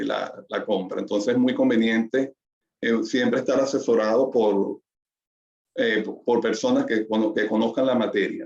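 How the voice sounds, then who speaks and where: Gravelly man hosting a webinar. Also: medium pitch, darkish tone, echoey room, highs cut off. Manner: hesitant, many fillers and restarts. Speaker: webinar host. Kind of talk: webinar introduction.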